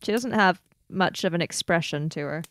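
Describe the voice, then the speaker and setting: A woman's voice: on the low side, moderate pace, fairly bright tone, dry recording, clean studio sound. A woman playing a tabletop role-playing game, speaking in character in a hesitant, subdued way.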